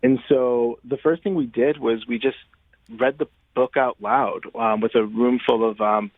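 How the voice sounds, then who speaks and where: A man's voice: medium pitch, steady tone, measured pace, no room sound. A man being interviewed as a playwright and director, talking informally and thinking aloud, on slightly muffled audio. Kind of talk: conversational radio interview.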